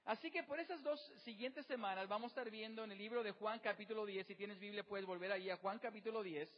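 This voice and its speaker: A man's voice: sits high, fast, in a large hall, lo-fi sound. A man preaching a sermon as pastor, asking the congregation rhetorical questions and often using fillers.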